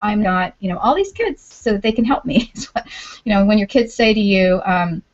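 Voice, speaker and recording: A woman's voice: medium pitch, brisk. A woman hosting a casual group video chat, chatty and self-joking, talking over a call mic. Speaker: podcast network host. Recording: dull lo-fi online call audio.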